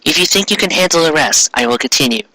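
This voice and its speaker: A man voicing a solemn, gentle queen with a formal, measured, motherly manner in a fan fiction reading. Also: fairly high pitch, expressive, no room sound, exaggerated voice, poor audio.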